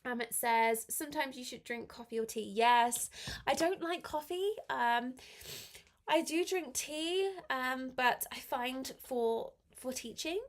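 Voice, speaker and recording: A woman teaching a live online English lesson with a slight head cold, reading exercises aloud and encouraging learners in a clear, step-by-step way. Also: high-pitched, takes it slowly.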